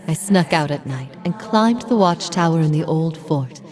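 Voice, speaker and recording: Fantasy game role: steadfast heretic prophet. Grave, measured, formal, on the low side. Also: female voice, animated, darkish tone, soft background music. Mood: reflective, earnest. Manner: solemn, measured, formal phrasing. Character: grave, steadfast female heretic prophet haunted by past lives. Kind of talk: dramatic fantasy game voice acting.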